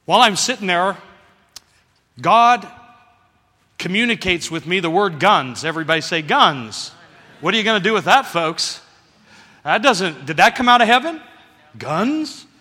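Animated male preacher in an echoey hall. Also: high voice, unhurried, long pauses. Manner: animated, calls for congregation response. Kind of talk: sermon.

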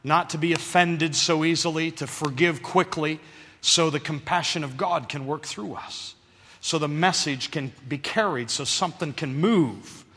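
Animated male preacher in an echoey hall. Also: fairly high pitch, a little slow, breathy edge. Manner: animated, calls for congregation response. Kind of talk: sermon.

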